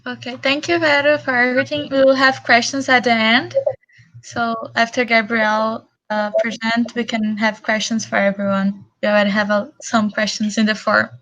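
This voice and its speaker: A woman moderating a webinar over a video call, brisk and polite as she hands over turns. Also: on the high side, flat delivery, a bit bright, low-quality recording.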